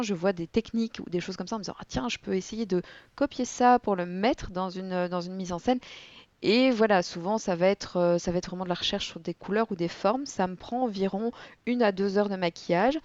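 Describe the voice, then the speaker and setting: A young woman guest telling stories and explaining her work in a relaxed podcast interview, with animated storytelling. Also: medium pitch, dry recording. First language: French. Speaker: guest, board game content creator. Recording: dull, dry lo-fi audio.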